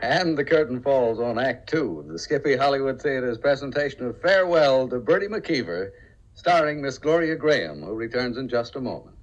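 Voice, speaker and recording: A man presents a radio theatre in formal 1950s style. His medium-pitched voice rises and falls in a lively way, courtly in manner. The old transcription sounds dull. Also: moderate pace. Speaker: radio theatre director and host. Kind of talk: 1950s radio hosting, scripted.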